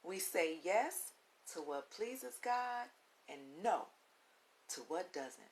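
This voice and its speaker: A woman reading a children's book aloud as a storytime host, with deliberate, instructive phrasing and emphatic pauses, on a recording with audible hiss. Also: medium pitch, animated. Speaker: read-along storytime host. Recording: audible hiss and background noise.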